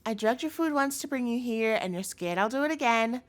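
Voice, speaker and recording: Woman acting high-voiced, sugary, menacing yandere girlfriend. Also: animated, fairly quick, close to an everyday voice. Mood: coaxing. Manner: sugary, coaxing, turning threatening. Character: obsessive yandere chef girlfriend, sweet but menacing. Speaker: voice actor in audio roleplay. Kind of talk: scripted intimate audio roleplay acting, addressed to the listener.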